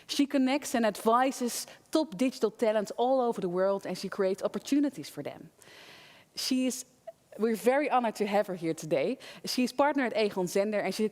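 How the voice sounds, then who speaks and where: A woman's voice: a little high, darkish tone. A woman hosting an online event, presenting the program and introducing a speaker to the audience. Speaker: event host. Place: event studio. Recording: reverberant studio hall.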